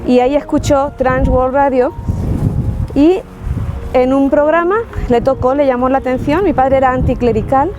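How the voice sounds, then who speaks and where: A woman's voice: sits high, steady tone, normal speed, over music. A woman from La Mancha in Spain with a Spanish accent, telling her personal faith testimony in an earnest, reflective way with frequent restarts. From Spain, Ciudad Real. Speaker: testimony speaker.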